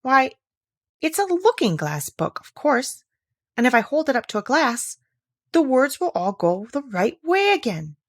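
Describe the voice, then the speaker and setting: A woman reading a storybook as a bright, chattering little girl who is curious and playfully chiding. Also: high-pitched, big pitch swings, a little slow, no room sound, studio quality, voice pushed up, exaggerated voice.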